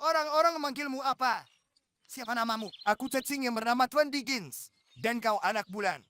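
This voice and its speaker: A woman plays a proper little male worm in a children's cartoon. The voice is high, the manner fussy, insistent and explanatory. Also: normal speed, a bit bright, close to an everyday voice. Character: fussy, matter-of-fact male worm gentleman. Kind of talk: preschool cartoon dub.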